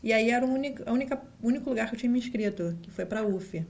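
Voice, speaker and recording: A woman's voice: a little high, rapid-fire, creaky, a little mellow. A woman with a Carioca Brazilian accent, a guest in an office, telling her story in a chatty way with fillers and acted-out quotes. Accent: Carioca Brazilian Portuguese. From Rio de Janeiro, Brazil. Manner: chatty, many fillers and restarts, acts out quotes. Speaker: guest, data scientist. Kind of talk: casual interview storytelling.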